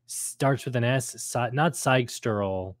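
A man's voice: mid-range voice, takes it slowly, no room sound, studio quality. A man hosting a casual discussion of an audio drama, speaking hesitantly as he searches for words. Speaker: host discussing a radio drama episode. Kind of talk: casual chat.

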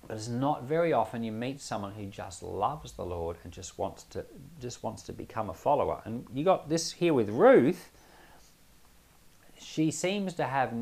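A man's voice: mid-range voice, a little mellow. Conversational man teaching the Bible. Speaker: pastor and Bible podcast host. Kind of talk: informal Bible teaching with commentary and prayer.

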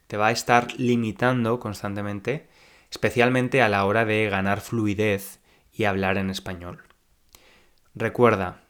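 A young man from Spain with a Spanish accent, hosting a podcast lesson for learners and speaking slowly and clearly like a teacher. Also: medium pitch. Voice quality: slow, clear teacher speech.

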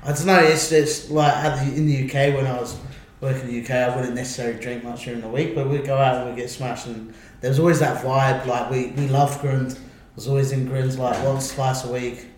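A man's voice: mid-range voice, steady tone, a little fast. An English man chatting casually on a podcast, rambling and restarting his sentences. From England. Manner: rambling, restarts, laughs often. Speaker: podcast host. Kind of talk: casual chat. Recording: very echoey room, faint ambience.

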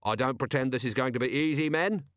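A man's voice: mid-range voice, quick pace, a bit bright, dry recording, lightly acted. A man voicing a weary, dry, sardonic watch commander in an audiobook, with understated delivery. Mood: wry, resolute.

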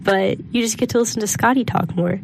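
A woman's voice: medium pitch, a little fast, vocal fry. A young woman with a congested, scratchy throat co-hosting a podcast, chatty and full of "like", on a studio mic. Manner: chatty, says like a lot. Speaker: podcast co-host. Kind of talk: casual chat. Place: small studio.

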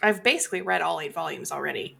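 The co-host on a casual movie podcast who wanders off on tangents, a woman with a gravelly fry in her voice. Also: low-pitched, lively intonation, fast talker, sharp tone. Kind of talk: casual chat about horror movies.

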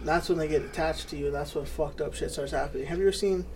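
A man's voice: on the high side, fast talker, a little mellow, a little hiss or hum. A man co-hosting a podcast, chatting casually, laid-back and profane, saying "bro" constantly. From New Mexico. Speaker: podcast co-host. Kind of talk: casual chat.

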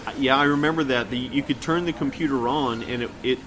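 A middle-aged American man chatting casually and reminiscing as a podcast co-host. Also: quick pace. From Kansas City, United States. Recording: clearly noisy, rumbling background.

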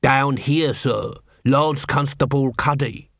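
A man's voice: mid-range voice, slow, dry recording, clean studio sound. A man doing a terse little dwarf constable. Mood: matter-of-fact. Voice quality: terse, clipped.

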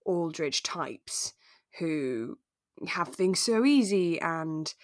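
A woman co-hosting a podcast in relaxed, conversational chat, retelling a drama and acting out bits of it. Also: sits low, drawn-out words, dry recording, studio quality. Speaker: podcast co-host.

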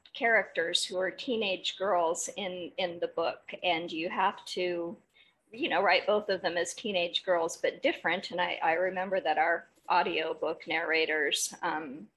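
A woman's voice: on the low side, a bit bright, husky, poor audio. A woman hosting a podcast, speaking in a conversational interview style. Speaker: podcast host.

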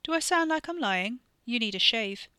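A woman's voice: a little high, lively intonation, normal speed, pushed and bright, clean tone, no echo, studio quality, voice pushed up. A woman voicing a tough, sardonic young British man with a blunt, sweary manner. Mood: mocking.

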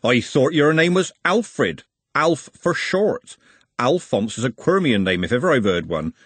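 Man as mischievous baker, storybook character, needling and jokey, colloquial, raised pitch, swooping delivery. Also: big pitch swings, unhurried, fairly bright tone, breathy edge, no room sound, heavily acted. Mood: teasing. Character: teasing, grinning male baker, mischievous and nosy.

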